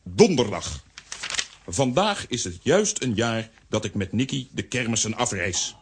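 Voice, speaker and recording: An actor playing a simple-minded strongman who speaks in gruff, clipped phrases, in a children's radio play. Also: on the low side, expressive, a little slow, a little hiss or hum. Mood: reading aloud. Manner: clipped short sentences, refers to himself by name. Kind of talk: Dutch-language children's radio play acting.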